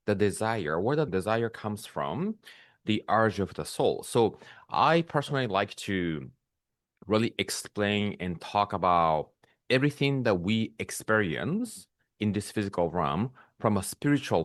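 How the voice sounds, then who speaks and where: A man hosting a spiritual podcast, speaking in a calm, measured way and sometimes pausing to search for words. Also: on the low side, no room sound, clean studio sound. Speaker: podcast host. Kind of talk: reflective spiritual monologue.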